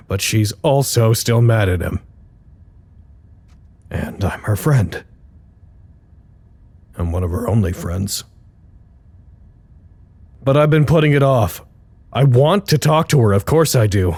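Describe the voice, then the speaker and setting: Earnest, worried male friend for audio drama: lowish, rough-edged voice, fretful confessional delivery. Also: a little mellow, heavily acted. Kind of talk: audio drama monologue, naturalistic.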